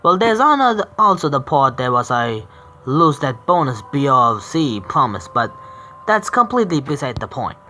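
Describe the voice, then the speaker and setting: A man streaming a visual novel game, reading the narration aloud haltingly, stumbling over words and correcting himself. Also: mid-range voice, big pitch swings, sharp tone, a little hiss or hum.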